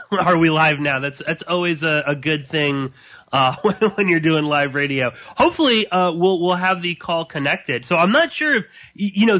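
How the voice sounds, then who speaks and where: A man hosting live radio alone, rambling and halting with many fillers, a slight sore throat in his voice. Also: a little high. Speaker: podcast host. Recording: dull lo-fi.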